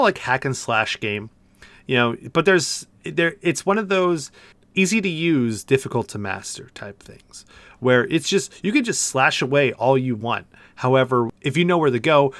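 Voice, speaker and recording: A man's voice: mid-range voice, a bit bright, no echo. A man co-hosting a podcast, chatting casually about a video game in loose, searching phrasing with repeated words. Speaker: podcast co-host.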